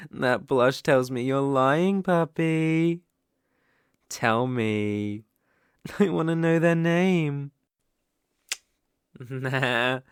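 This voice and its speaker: A man's voice: medium pitch, clear, resonant tone, no echo, clean studio sound. A British man acting a dominant, teasing, possessive young bully in love in an intimate ASMR roleplay. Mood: smug, teasing. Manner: slow, teasing, commanding.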